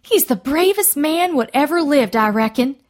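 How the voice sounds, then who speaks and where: Excitable backwoods woman, folksy and exclaiming, high voice played by a female narrator, storybook. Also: moderate pace. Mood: awed. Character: excitable, admiring rustic country woman.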